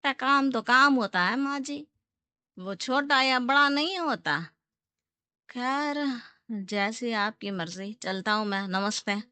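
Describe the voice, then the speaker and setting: A woman's voice: fairly high pitch, slow pace, sharp tone, clean tone, no echo. A woman voicing an outspoken male bus driver in a moral story cartoon, blunt and reproachful. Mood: resigned. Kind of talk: animated moral-story character acting.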